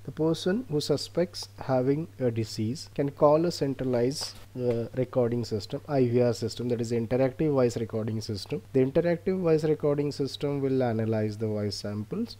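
A man with an Indian accent presents a research project in an explanatory way. His voice is relaxed and mellow, sitting at an average male pitch. The phrasing halts slightly as he walks through his slides.

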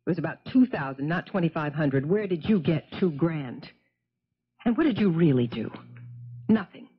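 An actress playing a scheming, hard-edged wife with a biting, scornful manner in a 1970s radio drama. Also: female voice, deep voice, animated, moderate pace, breathy edge. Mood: accusing. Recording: muffled 1970s radio broadcast.

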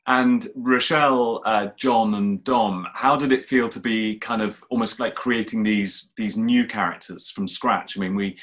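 A middle-aged man with a fairly low voice hosts a Q&A. He puts questions to guests over a video call from his living room. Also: fairly even intonation, a little slow, few pauses, a bit bright. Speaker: host, interviewer. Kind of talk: hosting a Q&A, posing questions.

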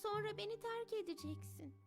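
A woman's voice: very high-pitched, normal speed, music in the background. A woman voicing a frail, sensitive young girl from a wealthy home in a dubbed cartoon. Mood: hurt, wistful. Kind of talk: Turkish-dubbed children's cartoon acting.

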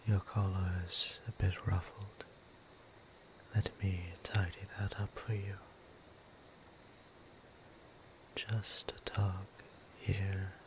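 A gentle vampire priest played by a man in an ASMR roleplay, whispering with formal, tender courtesy. His low voice stays very flat and moves slowly, with ambient sound behind it. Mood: fond, attentive. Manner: formal, hushed, unhurried, tender, with deliberate pauses. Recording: clear ambient background noise.

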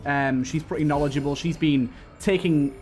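High-voiced man giving casual game commentary. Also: steady tone, normal speed, darkish tone, soft background music. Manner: talks to the game's characters, repeats phrases. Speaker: gaming host. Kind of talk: casual let's play commentary.

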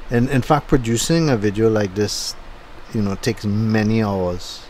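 Casual man, low voice. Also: unhurried, a little mellow, slight background noise. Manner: casual, rambling, thinking aloud with fillers. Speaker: YouTube channel host. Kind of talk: voice-over commentary on a driving video. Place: home, recorded after the drive.

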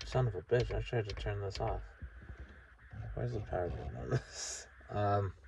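A man of about thirty, talking frankly and haltingly in a sweary, candid personal vlog monologue. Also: on the low side, creaky, distant mic, very noisy. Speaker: vlogger. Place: inside a parked vehicle at night.

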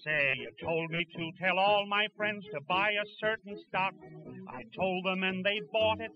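A man's voice: high voice, steady tone, moderate pace, music in the background, close to an everyday voice. A man playing a flustered, pleading everyman in a 1920s vaudeville comedy record, with muffled old-record sound. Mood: worried. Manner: exclaiming, pleading, theatrical. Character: frantic, flustered everyman husband and investor.

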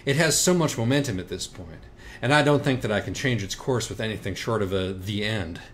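A man's voice: fairly low pitch, fairly quick, a little hiss or hum, lightly acted. A man playing an anguished, self-absorbed young writer in audiobook dialogue, wordy and sardonic, circling through evasive self-questioning. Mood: resigned, analytical.

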